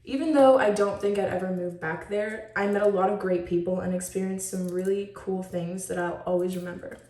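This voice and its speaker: A woman's voice: mid-range voice, steady tone, fairly quick, dark tone. Talkative teen girl showing off her identity box, bubbly and chatty, sounds a bit far from the mic in an echoey room. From Switzerland. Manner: chatty and upbeat, talks a lot. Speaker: student presenting a school project. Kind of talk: show-and-tell presentation. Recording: roomy echo, mic at a distance.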